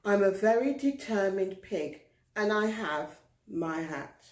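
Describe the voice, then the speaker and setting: A woman voicing a vain, determined little boy pig in a children's story, boastful and excitable. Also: mid-range voice, slow pace, roomy sound, distant mic. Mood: proud.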